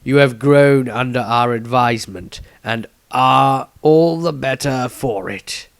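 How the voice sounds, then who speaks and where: A man voicing an old male wizard patriarch in a storybook, formal, deliberate and sympathetic. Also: mid-range voice, creaky, no echo. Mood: approving.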